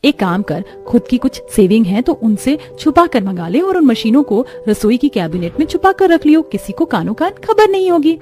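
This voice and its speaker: A practical young female friend in an animated story, voiced by a woman. She sounds high, brisk and familiar as she advises, over light music. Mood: helpful, practical.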